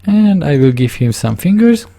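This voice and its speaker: Hungarian man narrating a drawing tutorial, gravelly and mellow. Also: a little high, very animated. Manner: halting, searching for words, self-correcting. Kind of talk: casual screen-recorded tutorial narration.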